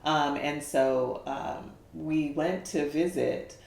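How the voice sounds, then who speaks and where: A woman with a faint Bahamian lilt tells her life story in a relaxed interview, pausing on ums and often laughing. Her voice sits low, and the room around her is echoey. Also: vocal fry. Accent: faint Bahamian lilt in speech pattern. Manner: laughing storyteller with many fillers. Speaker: guest. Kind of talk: casual interview chat.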